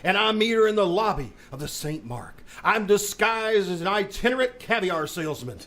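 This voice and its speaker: A brash American would-be private eye acted by a man in an old-time radio play. His high voice swoops widely as he pushes his schemes with theatrical, salesman-like patter. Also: animated, moderate pace, fairly bright tone. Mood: boastful, pushy. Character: brash, fast-talking, hammy male would-be detective sidekick. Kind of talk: live old-time radio comedy detective acting. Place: small theater stage, live performance.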